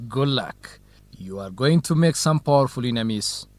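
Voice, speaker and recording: A man's voice: medium pitch, animated, unhurried, lightly acted. A young man playing an eager, nervous journalism student in an educational radio drama, speaking earnest, carefully enunciated English. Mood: excited, nervous.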